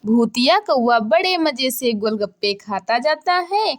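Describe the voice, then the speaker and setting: A woman's voice: a little high, lively intonation, measured pace. A woman narrator reading a scripted children's bedtime story.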